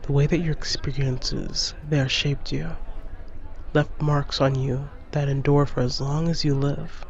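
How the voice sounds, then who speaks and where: Starship crewmate, male, played by an actor: direct, caring, persuasive, fairly high voice, dull lo-fi mic. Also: little pitch movement, unhurried, creaky. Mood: earnest, supportive. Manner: direct, plain-spoken, persuasive. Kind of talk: sci-fi audio drama.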